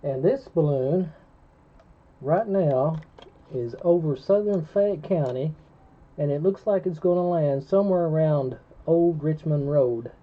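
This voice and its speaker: Dull, lo-fi ham radio audio carries a man with a slightly high voice. He explains things in a casual, informative way, as one operator to another. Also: measured pace.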